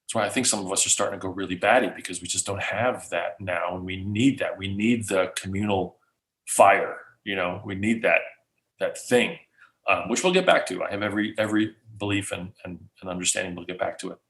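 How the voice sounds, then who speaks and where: A man, a guest speaker, answering questions casually in an animated way, restarting often. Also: low voice, brisk. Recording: roomy echo.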